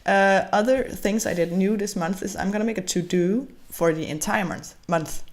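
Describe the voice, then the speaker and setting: A woman's voice: medium pitch, normal speed. A young woman whose first language is Danish, narrating a journaling video in casual, hesitant English with frequent fillers. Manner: hesitant, with many fillers and restarts. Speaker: bullet journal vlogger.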